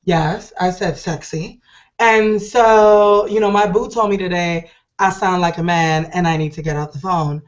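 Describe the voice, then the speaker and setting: A middle-aged woman hosting an online show, hoarse and deep-voiced from a cold, talking in a chatty, rambling, enthusiastic way. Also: a little slow, highs cut off. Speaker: host. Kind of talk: casual online show talk.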